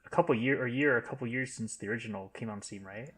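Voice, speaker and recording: Male podcast panelist, medium pitch, informal and slightly halting. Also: slightly rough. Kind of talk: casual chat.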